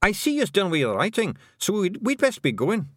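A man's voice: high voice, huge pitch swings, moderate pace, no echo, clean studio sound, pitched above the performer's own voice, full character voice. A man voicing a tiny, fierce male pixie clan chief with a Scottish accent and a brash, wheedling manner. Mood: cheeky.

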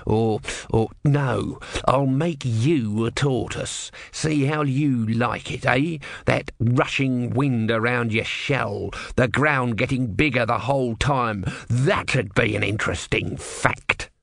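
A man voicing a small, grumpy tortoise god in a resigned, deadpan, vengeful mutter for a storybook. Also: mid-range voice, unhurried, hardly any pauses, no room sound, clean studio sound, close to an everyday voice. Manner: dull, deadpan, muttering grumbles and threats.

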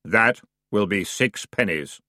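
A man's voice: fairly low pitch, animated, takes it slowly, pushed and bright, dry recording, clean studio sound, full character voice. A man voicing a weary, strict male ferryman of the underworld in a storybook, speaking in a stern, grudging, exasperated manner.

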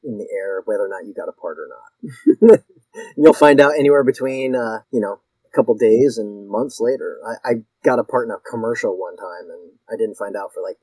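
A man's voice: on the low side, fairly quick, a little mellow, breathy edge, no echo, rough recording. A man in his fifties from Connecticut, a guest actor telling stories in a casual interview, rambling and self-deprecating with many restarts.

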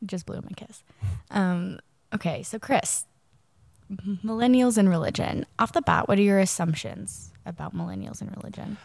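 A woman's voice: medium pitch, expressive, moderate pace, vocal fry, a little mellow, dry recording, clean studio sound. A woman in her thirties co-hosting a casual podcast, still scratchy from a cold, chatty and laughing easily between her ums. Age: 30s. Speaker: podcast co-host.

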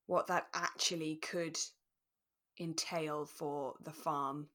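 A woman, chatting casually and in a relaxed way as a podcast co-host. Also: sits low, slow pace. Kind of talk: relaxed casual chat about a radio drama.

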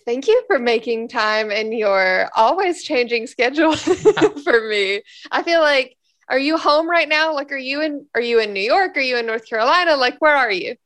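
A young woman hosting a casual podcast, her voice a little hoarse, talking in an upbeat, chatty way with many fillers, recorded from a hotel room. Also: high-pitched, expressive, normal speed, fairly bright tone. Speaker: podcast host. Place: hotel room, sitting on a bed.

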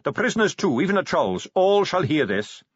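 A man's voice: a little high, big pitch swings, a little slow, fairly bright tone, breathy edge, dry recording, muffled recording, voice pushed up, exaggerated voice. A man voicing a commanding dwarf king in an audiobook, curt and authoritative.

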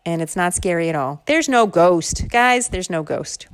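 A woman's voice: fairly low pitch, lively intonation, measured pace, dry recording, studio quality. Woman as brave, matter-of-fact young camper. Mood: reassuring. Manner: assured, matter-of-fact, casual. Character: brave, level-headed young female camper.

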